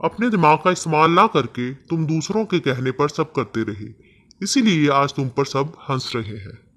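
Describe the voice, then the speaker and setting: A man's voice: a little high, expressive, normal speed, a bit bright. A man voicing scornful grown village men in a children's storybook, mocking and scolding. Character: scornful adult male villagers. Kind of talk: storybook voice acting.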